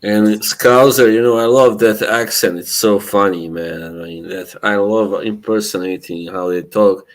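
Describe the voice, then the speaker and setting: A man's voice: on the low side, unhurried. A man in a casual group voice chat, laid back, who chuckles and gives short, agreeing replies.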